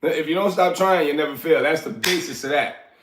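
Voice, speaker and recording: A man in his forties from Liberia talks casually on a livestream from his apartment, drifting between topics and replying to chat. His voice sits a touch high, and he sounds as if he is still shaking off an illness. The room around him is echoey. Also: brisk, noisy background. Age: 40s. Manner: loose, rambling, answers chat by name. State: recovering from illness, coughing. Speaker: livestream host.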